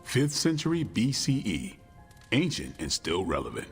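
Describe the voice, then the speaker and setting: A man playing a gruff, guarded, bookish vampire-hunter warrior with dry wit, in video game cutscene dialogue. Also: fairly low pitch, huge pitch swings, normal speed. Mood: dry, assured. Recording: long reverb, music underneath.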